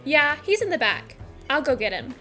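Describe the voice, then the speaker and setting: A woman acts as a perky, teasing bartender in an audio drama. Her voice is high and bright with bouncy, animated inflection. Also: moderate pace, faint music behind, exaggerated voice. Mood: friendly.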